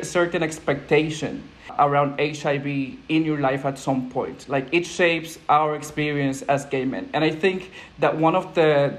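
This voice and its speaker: Young man with a Puerto Rican accent. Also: fairly high pitch. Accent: Puerto Rican Spanish. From Puerto Rico. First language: Spanish. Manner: earnest, thoughtful, measured. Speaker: program manager, panel guest. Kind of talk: panel discussion on video call. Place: indoors at home, plain wall. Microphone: earphone headset on video call.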